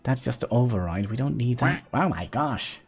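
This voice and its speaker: A man's voice: medium pitch, lively intonation, moderate pace, slightly rough, faint music behind. A man from Norway, chatting casually to his live-stream viewers while he plays, laughing often. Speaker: game streamer.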